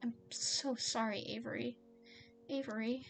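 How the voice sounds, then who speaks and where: Nervous lovesick man played by a woman, stammering, anxious, halting, audio drama, music behind. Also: a little high, fairly even intonation, creaky, rough recording. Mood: distraught, apologetic. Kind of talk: acted audio story.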